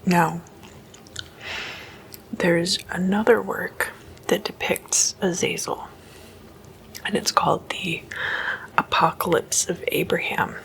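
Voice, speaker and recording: A woman whispers an ASMR story in a hushed, informal explanatory manner. Her delivery is very slow and stays quite even, with little melodic movement. A gravelly creak runs through the voice. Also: on the low side, rough recording. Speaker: host of a spooky ASMR series.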